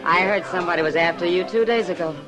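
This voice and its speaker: A woman's voice: on the low side, fast talker, natural voice, rough recording. An American woman acting a worldly, frank saloon woman in an old-time radio western, with a direct, knowing manner. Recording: old radio drama with music behind.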